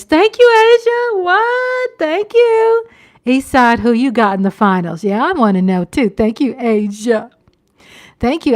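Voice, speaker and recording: A woman's voice: mid-range voice, a little mellow. A woman in her fifties hosting a livestream, chatting casually to viewers and often repeating herself or checking in with them. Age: 50s. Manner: conversational, repeats phrases and checks in with "you know". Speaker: livestream host.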